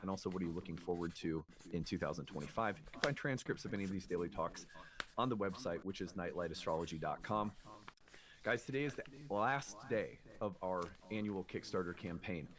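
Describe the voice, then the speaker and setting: A man's voice: low-pitched, fairly quick, crowd noise. A man hosting a conversational astrology show, explaining things in an earnest way. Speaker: show host.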